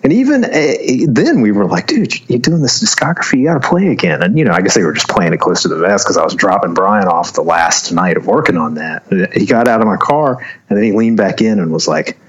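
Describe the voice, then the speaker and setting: A man's voice: lowish voice, lively intonation, a little fast, few pauses, breathy edge, dull audio. A man in his forties from North Carolina, chatting as a guest over a video call, rambling with lots of fillers and frequent laughs. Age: 40s. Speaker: guest musician.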